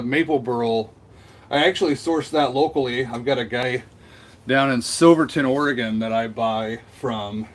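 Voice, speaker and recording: A man with a nasal voice, a woodturner chatting casually and rambling while live-streaming from his cold workshop. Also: medium pitch. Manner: rambling and chatty, answers viewer comments. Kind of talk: casual livestream chat.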